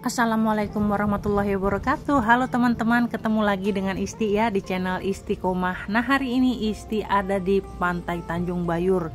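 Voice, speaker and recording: A woman from Indonesia hosts her own vlog in a chatty voice-over, talking to her viewers as friends. Her voice sits at a middling pitch for a woman. Music plays clearly underneath her narration. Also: fairly even intonation, fairly quick, few pauses. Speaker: vlog host. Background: background music.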